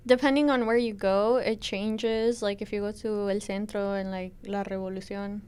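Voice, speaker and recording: Smooth-voiced young woman, casual. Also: medium pitch, normal speed. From Tijuana area. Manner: relaxed, filler-heavy, laughs easily. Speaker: interviewee. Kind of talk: casual interview answers.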